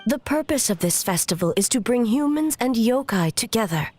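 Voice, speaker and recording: A woman's voice: fairly high pitch, animated, normal speed, a little mellow, dry recording, faint music behind. A woman playing a dignified, solemn female ruler in a game, speaking formally, earnestly and with composure. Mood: solemn, composed. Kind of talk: English game voice acting.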